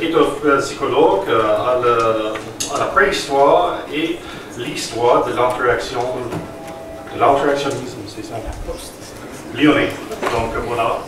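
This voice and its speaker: A man chairing a conference, introducing the speaker in a halting way with many fillers. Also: medium pitch. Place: conference hall. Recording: echoey hall from far off, noisy.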